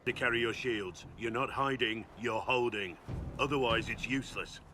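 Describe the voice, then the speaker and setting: An actor playing a gruff, battle-worn warrior and recruiter in a video game, blunt and commanding in manner. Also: male voice, mid-range voice, normal speed, pushed and bright, slight background noise, natural voice. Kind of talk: dramatic video game acting.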